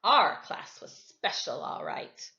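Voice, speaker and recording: A woman reading a children's story aloud in an animated, expressive way. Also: slow, muffled recording. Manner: animated, dramatizes dialogue. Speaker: storybook reader, teacher.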